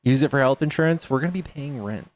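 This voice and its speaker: A man in his late thirties talking loosely to his stream audience from a home setup. His mid-pitched voice is recorded close and dry, with no room sound around it. He rambles, jokes, and thanks donors as they come in. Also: quick pace, dry recording. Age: 30s. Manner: chatty, reads donations aloud, jokes with chat. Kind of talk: casual livestream chat.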